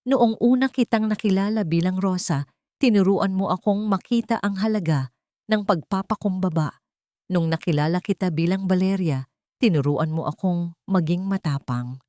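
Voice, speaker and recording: A woman voicing a tender, earnest man, a devoted father and lover, in a dramatic audiobook with soft, halting delivery. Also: on the low side, no echo, clean studio sound, natural voice. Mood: earnest, loving. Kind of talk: dramatic audiobook character reading.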